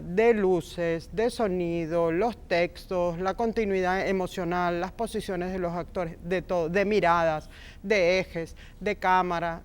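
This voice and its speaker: Passionate woman, smooth clear voice. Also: on the low side. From Argentina, raised in Venezuela. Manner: warm, passionate, emphatic repetitions. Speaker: film script supervisor, guest. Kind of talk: interview conversation.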